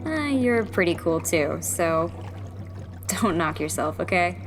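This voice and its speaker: A woman's voice: lowish voice, lively intonation, slow pace. A woman playing a determined young rebel engineer in a sci-fi audio drama, earnest and taking charge as she explains the plan. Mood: warm. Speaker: rebel leader. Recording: loud music over the voice.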